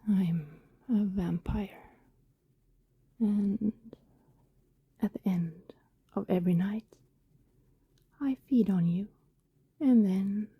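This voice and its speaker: A woman performs an affectionate, apologetic vampire woman in an intimate ASMR roleplay. Her voice is very mellow and whispery, with fry at the edges. She confides softly and hesitantly in a close, dry recording. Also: mid-range voice, dry recording, exaggerated voice. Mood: hesitant confession. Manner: soft, breathy, whispery, hesitant confiding delivery. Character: affectionate female vampire neighbor, guilty, tender and reassuring.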